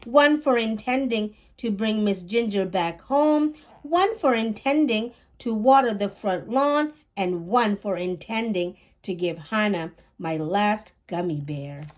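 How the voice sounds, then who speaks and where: A woman's voice: mid-range voice, animated, slow pace. A woman voicing an eager young boy in a children's story, easily disappointed. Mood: proud, excited.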